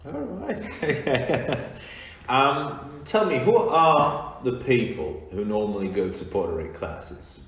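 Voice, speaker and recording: Man, host, halting and slow, laughs between questions, echoey room, faint hiss. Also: medium pitch, expressive, fairly bright tone. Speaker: podcast host. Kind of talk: casual interview.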